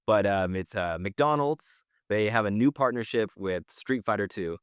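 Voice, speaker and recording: Middle-aged man, deep clean voice, casual slangy podcast co-host, informal news chat. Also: normal speed, clean tone, no echo, studio quality.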